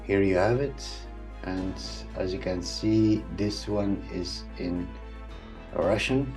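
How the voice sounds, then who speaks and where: Middle-aged Dutch-native man, tutorial presenter, low voice, faint music under. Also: slow pace. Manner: hesitant, many ums and uhs. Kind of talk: screen-recorded software tutorial.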